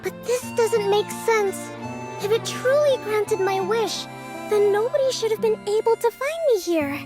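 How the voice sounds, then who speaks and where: A woman voicing an excitable, earnest little girl with a sweet manner in a video game. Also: very high-pitched, a little slow, music in the background. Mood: wistful storytelling. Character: excitable little girl performer playing a fiery mage.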